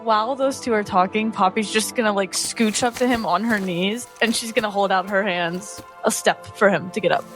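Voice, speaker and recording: Woman, tabletop player, medium pitch, casual role-play, describing actions, faint music. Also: normal speed, few pauses, poor audio.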